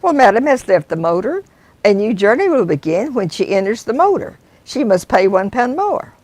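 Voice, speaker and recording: A woman's voice: mid-range voice, big pitch swings, normal speed, darkish tone, no echo. A woman voicing a reckless, cheerful male taxi driver who insists and haggles emphatically. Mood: insistent.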